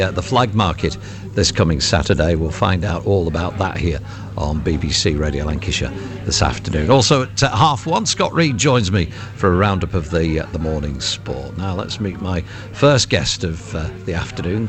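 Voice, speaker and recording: A man presenting a live radio show, with hesitant phrasing and drawn-out words. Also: low-pitched.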